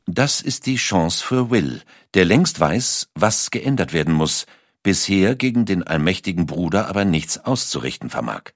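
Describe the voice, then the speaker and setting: A man's voice: low voice, big pitch swings, moderate pace, no echo, studio quality. A man reading scripted documentary narration as a narrator.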